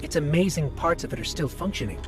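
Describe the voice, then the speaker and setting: A man's voice: fairly high pitch, lively intonation, quick pace, close to an everyday voice. A man voicing an earnest, helpful little robot companion in a video game, explaining things as a knowledgeable guide. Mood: earnest, informative. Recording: loud music under the voice.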